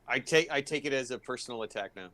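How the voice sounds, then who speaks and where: A man's voice: mid-range voice, lively intonation, fast talker, a bit bright, slight background noise. A man joking and teasing casually on a group video call. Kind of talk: casual banter. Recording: overlapping chatter on video call.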